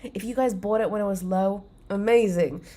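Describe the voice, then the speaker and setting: A woman's voice: mid-range voice, normal speed, mellow. A woman hosting her own livestream, chatting casually and full of fillers, a bit congested and under the weather. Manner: chatty, filler-heavy, upbeat. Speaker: livestream host.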